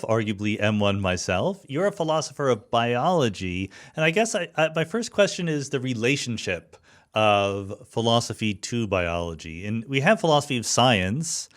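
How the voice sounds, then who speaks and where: A man's voice: medium pitch, sing-song, normal speed, a bit bright. A man hosting a podcast in a reflective, casual conversational way, often posing questions. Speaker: podcast host. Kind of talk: conversational podcast introduction and interviewing.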